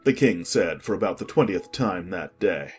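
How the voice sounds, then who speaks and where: A male narrator reads a storybook in a calm, measured voice. His tone is slightly husky and breathy. Soft music plays underneath. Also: sits low. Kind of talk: audiobook narration.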